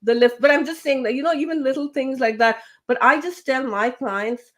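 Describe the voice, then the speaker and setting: A woman's voice: sits high, normal speed, over a noisy crowd. A woman guest, a LinkedIn trainer and coach, talking casually in an interview with many fillers and self-corrections. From grew up with British English. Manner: chatty, many fillers and restarts.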